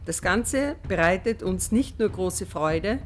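A woman's voice: mid-range voice, lively intonation, takes it slowly, slight background noise. A woman of sixty-four giving a prepared personal testimonial. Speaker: member of senior activist group.

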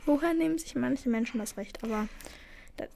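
A woman's voice: sits high, brisk, creaky, darkish tone, slight background noise. A teenage girl from Afghanistan, co-hosting a casual chat and telling stories in an earnest, indignant way. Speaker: co-host.

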